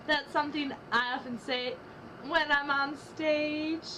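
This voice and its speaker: A woman's voice: sits high, steady tone, slow, background noise. A young woman doing a dramatic reading of rap lyrics as a student project, trading rhythmic lines with a partner. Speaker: student reader.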